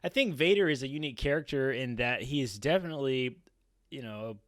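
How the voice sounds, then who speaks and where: A man's voice: mid-range voice, brisk, no room sound, studio quality. A man in his thirties chatting casually and emphatically as a podcast panelist, often checking in with "right?". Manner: animated, emphatic, frequently adds "right?". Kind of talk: casual roundtable chat. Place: small home room on a group video call. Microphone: close podcast mic.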